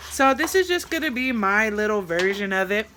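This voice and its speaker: A woman's voice: medium pitch, lively intonation, moderate pace, bright, forceful tone. Bawdy, joking woman cooking over a sizzling pan. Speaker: cooking vlogger. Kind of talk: casual cooking chat. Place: home kitchen with food frying. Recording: sizzling pan noise behind.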